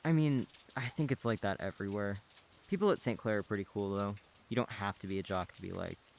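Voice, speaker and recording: A young man playing a relaxed, friendly teenage boy neighbor in a radio play. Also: fairly low pitch, brisk, vocal fry. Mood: easygoing. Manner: relaxed, friendly, casual slang.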